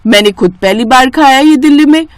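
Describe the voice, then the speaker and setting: Woman as wealthy mistress of the house, high voice, effusive, emphatic, kindly bossy, dry recording. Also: brisk, no echo, natural voice. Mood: impressed. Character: rich female employer, pleased, effusive, bossy but kindly.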